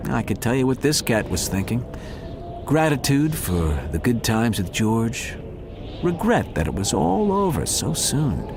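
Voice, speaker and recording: A man's voice: fairly low pitch, noisy background. A man playing a wry, world-weary male cat who narrates a film-noir detective audio drama in a theatrical way. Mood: wry, rueful. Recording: studio drama over loud music bed.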